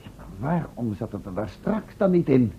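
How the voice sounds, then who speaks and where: A man's voice: medium pitch, big pitch swings, moderate pace, creaky, a little hiss or hum. A man playing a professor's curious, sceptical assistant in a Dutch radio play, speaking formally with dry irony. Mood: puzzled. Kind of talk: Dutch radio drama acting. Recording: narrow, tinny old radio recording.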